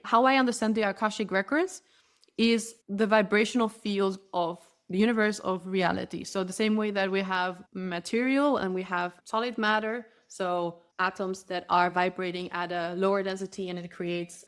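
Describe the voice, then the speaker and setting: Brief: female interview guest, Spanish native speaker, mid-pitched voice, earnest and flowing, restarts her phrases. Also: fairly even intonation, normal speed. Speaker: spiritual guide, interview guest. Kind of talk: podcast interview.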